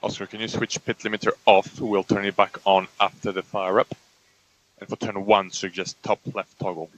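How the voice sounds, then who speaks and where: A man's voice: on the low side, little pitch movement, moderate pace. A man working as a race engineer, passing updates to a driver over motorsport team radio. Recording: noisy car team radio.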